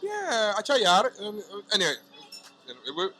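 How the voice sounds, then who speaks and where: A man chatting casually as a hip hop artist guest, animated and slangy, often asking if you know what he's saying. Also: high-pitched, moderate pace, crowd noise. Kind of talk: casual podcast chat.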